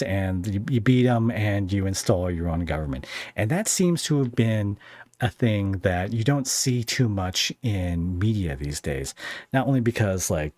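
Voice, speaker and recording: A man's voice: low voice, darkish tone, no room sound. A man hosting a podcast in casual interview chat, speaking in a hesitant way as he thinks aloud. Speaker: podcast host.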